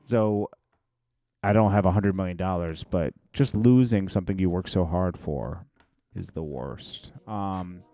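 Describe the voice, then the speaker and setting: A man's voice: low voice, unhurried, warm tone, no room sound. A man hosting a show with casual, opinionated gossip commentary, speaking in a blunt, slangy way. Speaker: show host.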